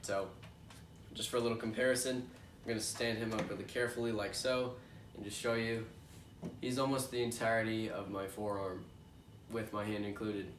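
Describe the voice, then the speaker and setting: A young man with a stuffy, congested voice talks casually while opening a toy box, wandering off track and filling gaps with ums and uhs. He sounds some distance from the mic in a roomy space, with steady background noise underneath. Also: on the low side, normal speed. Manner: rambling and chatty with frequent fillers. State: congested from allergies. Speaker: toy unboxing host. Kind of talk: casual unboxing chat. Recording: echoey room, distant mic, noisy background.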